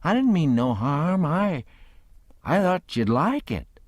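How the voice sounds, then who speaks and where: A man voicing a cheeky young trickster who pleads in a mock-innocent, earnest way, higher than his own voice. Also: sing-song, slow, no room sound, clean studio sound, heavily acted.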